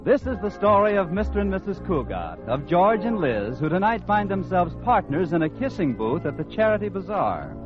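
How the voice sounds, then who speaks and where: A man's voice: high-pitched, big pitch swings, normal speed, few pauses. A man announcing and narrating a 1940s radio comedy from a script. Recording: muffled old radio recording. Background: music.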